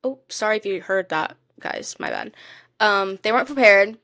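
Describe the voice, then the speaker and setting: A woman co-hosting a podcast, chatting casually in an informal, expressive and emphatic way. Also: medium pitch, normal speed, no room sound, studio quality. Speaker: podcast co-host. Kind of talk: casual chat about TV shows.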